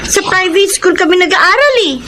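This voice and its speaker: A woman's voice: extremely high pitch, lively intonation, normal speed. A woman voicing a whiny young schoolgirl daughter in a Filipino radio drama. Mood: pleading, whiny. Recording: noisy ambience behind radio drama.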